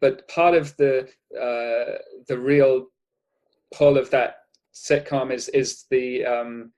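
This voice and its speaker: A man from Wales with a light Cardiff accent, chatting as a guest in a relaxed interview. He talks in a middle-pitched voice, thinking aloud with many small restarts and fillers. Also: slow pace. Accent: Welsh, Cardiff. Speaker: guest artist. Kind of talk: casual interview chat.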